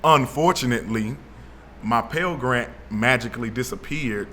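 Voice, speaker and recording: A young man from Atlanta, telling his story as an interview guest in a relaxed way with plenty of fillers. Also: medium pitch, moderate pace, a little hiss or hum. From Atlanta, Georgia. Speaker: guest, founder of an initiative. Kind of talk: casual interview talk.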